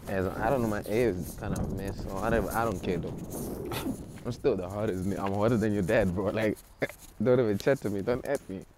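A young man rambles through a selfie vlog in a somewhat low voice, boasting, teasing and mocking himself in street slang. He talks into a wired earphone mic while he wanders outside. Traffic and street noise sit clearly behind him. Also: normal speed. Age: young adult. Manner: joking, swaggering, self-mocking street slang. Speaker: vlogger. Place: outdoors on city streets and inside a shop. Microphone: wired earphone mic, handheld phone from below.

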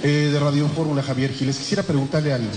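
Mexican radio reporter, stumbling and restarting, echoey press room. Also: male voice, on the high side, fairly even intonation, a crowd in the background. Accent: Mexican Spanish. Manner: halting, with many restarts and fillers. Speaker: radio reporter asking a question. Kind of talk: press conference question. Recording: echoey hall with background noise.

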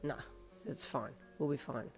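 A woman voicing a relaxed, easygoing young man who speaks calmly. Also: a bass voice, a little slow, faint music behind, pitched below the performer's own voice. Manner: calm, chuckling ease.

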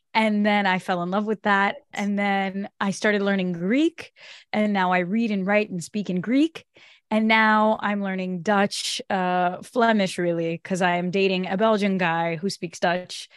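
A young adult woman from Brazil, a native Portuguese speaker, telling her story as a podcast guest in a lively, animated way. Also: medium pitch, moderate pace, no echo, studio quality. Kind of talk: casual interview chat. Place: home office with bookshelves. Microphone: studio mic on boom arm.

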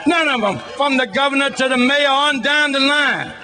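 A man's voice: very high-pitched, moderate pace, music in the background, crowd noise. An older man giving an impassioned, plain-spoken, folksy speech at a rally. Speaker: veteran speaking at a rally. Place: outdoor street rally stage. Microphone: stand microphone through PA.

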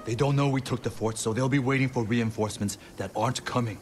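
An actor playing a blunt, wary leader of samurai fighters in a video game, with a commanding manner. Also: male voice, medium pitch, fast, a little mellow, lightly acted. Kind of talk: video game cutscene acting. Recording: game music underneath.

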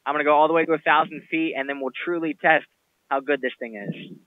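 A man's voice: on the high side, fairly even intonation, quick pace, no echo, phone-quality sound. A man in his twenties giving a casual gear review as a video host. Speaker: video reviewer, event shooter. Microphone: headset intercom mic.

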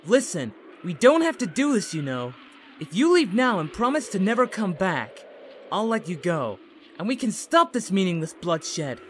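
A man's voice: high-pitched, big pitch swings, moderate pace, fairly bright tone, a little hiss or hum. A man voicing a cheerful, naive, dim-witted hero in an anime parody, guileless and easygoing. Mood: calm, earnest. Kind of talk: exaggerated anime parody dub acting.

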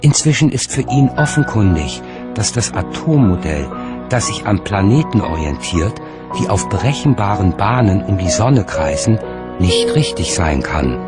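A man reading scripted documentary narration in a measured, articulate way. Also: on the low side. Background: music.

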